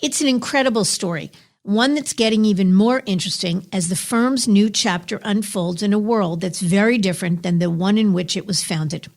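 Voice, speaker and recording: A woman's voice: mid-range voice, moderate pace, a little mellow. A woman hosting an interview podcast, reading a scripted intro and asking polished questions. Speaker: podcast host.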